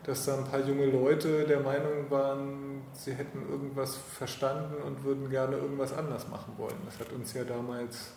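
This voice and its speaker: A man's voice: medium pitch, little pitch movement, moderate pace, hardly any pauses, darkish tone. A man in a casual political conversation as interviewer, thinking aloud in a rambling way. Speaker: podcast host and interviewer. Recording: roomy echo, clear background noise.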